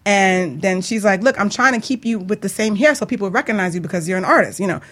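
An American woman hosts a casual interview, talking rapidly at a medium pitch. She is informal and chatty, with an encouraging way of addressing her guest. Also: a bit bright. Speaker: show host.

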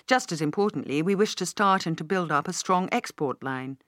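A courteous older British business magnate voiced by a woman reading an audiobook. He speaks in a measured, gracious, formal way, like a fond uncle. The recording is very dry. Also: fairly low pitch, lively intonation, a bit bright, dry recording. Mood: measured, businesslike.

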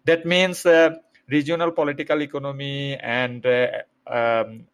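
A man's voice: medium pitch, level tone, measured pace, clean tone. A young man from Bangladesh, a graduate student answering interview questions with careful explanations and many hesitant fillers. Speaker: guest, PhD student.

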